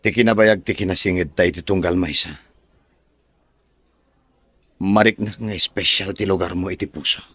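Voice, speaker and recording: A man plays an earnest Filipino farm owner in love. The voice is deep and courteous, in drama dialogue with hardly any room sound around it. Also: steady tone, moderate pace, long pauses, a bit bright, husky, no room sound. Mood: earnest, tender. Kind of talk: dialogue in an Ilocano serial drama.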